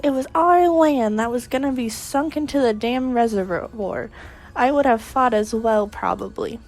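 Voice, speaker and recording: Brash teenage girl, played by a woman, boastful and excitable, visual novel drama over music. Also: on the high side, measured pace, natural voice. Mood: proud, excited.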